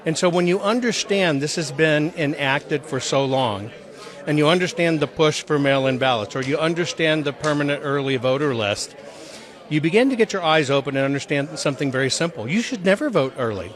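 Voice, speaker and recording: A man's voice: a little high, animated, brisk. A man giving conversational interview answers, explaining things in an earnest, emphatic way. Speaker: interview guest, audit presenter.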